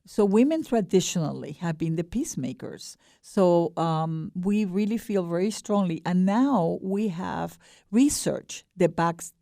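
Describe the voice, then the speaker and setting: Low, creaky-voiced Ecuadorian woman. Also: expressive, unhurried, mellow, dry recording, clean studio sound. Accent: Ecuadorian Spanish. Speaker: guest, board director of a peace nonprofit. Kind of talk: radio interview.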